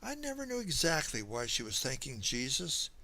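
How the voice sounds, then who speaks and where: Animated male storyteller, folksy and dramatic. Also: medium pitch, moderate pace, low-quality recording. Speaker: audiobook narrator. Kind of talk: first-person scripted story narration.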